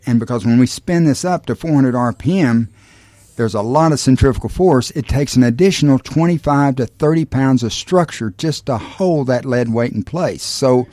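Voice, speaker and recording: A man giving a measured technical explanation in an interview, stressing the figures. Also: medium pitch, dark tone, no echo. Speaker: aircraft designer being interviewed.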